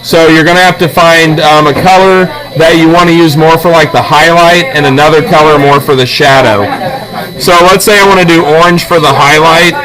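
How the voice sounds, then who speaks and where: A man's voice: a little high, fairly even intonation, a little fast. A man teaching drawing hands-on, explaining things patiently and informally. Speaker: art teacher. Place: busy classroom. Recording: noisy room full of chatter. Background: loud crowd chatter.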